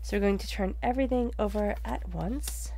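A woman's voice: medium pitch, normal speed, vocal fry, warm tone. A woman with a congested voice gives a conversational spiritual tarot reading, earnestly repeating and rephrasing for emphasis. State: congested from allergies. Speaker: tarot reader.

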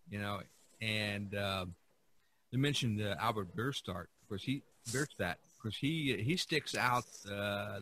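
A man speaking as a podcast co-host in a casual art history discussion, haltingly searching for words. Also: lowish voice, sharp tone, slight background noise.

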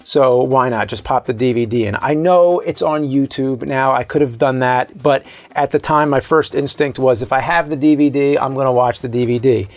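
An American man in his thirties hosting a movie review show, telling a casual story in a halting, self-interrupting way. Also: mid-range voice. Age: 30s. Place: small indoor room set lined with videotapes.

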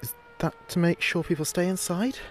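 A man acting as a radio DJ in an audio drama, reporting a disaster live in a halting, anxious, fearful way. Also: high-pitched, wildly swooping pitch, a little hiss or hum. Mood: alarmed, urgent.

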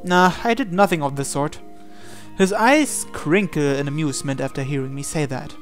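A man reading a visual novel aloud as expressive narration, in a measured, dramatic way. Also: on the high side. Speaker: gaming channel host reading aloud. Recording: voice over game music. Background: game music.

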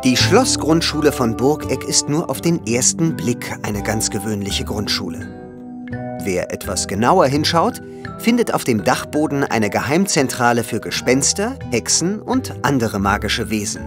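A man's voice: mid-range voice, big pitch swings, moderate pace. A man narrating a scripted children's audio play. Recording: music under the voice. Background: music.

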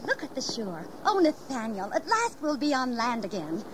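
An actress playing an anxious, devoted, genteel young wife in a historical audio drama, fretful and hesitant. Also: female voice, on the high side, wildly swooping pitch, normal speed. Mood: puzzled, indignant. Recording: noisy 1980s audio drama tape.

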